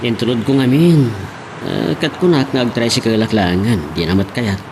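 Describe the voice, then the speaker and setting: A man's voice: mid-range voice, moderate pace, background noise, lightly acted. A man with an Ilocano accent acting an earnest, respectful young family driver in a radio drama. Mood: earnest, defensive.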